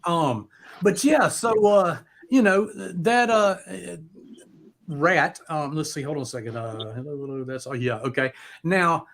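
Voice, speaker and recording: Halting, casual man hosting a music show. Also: a little high. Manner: halting, hesitant delivery with casual swearing. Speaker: host of a live music talk show. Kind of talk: casual live chat.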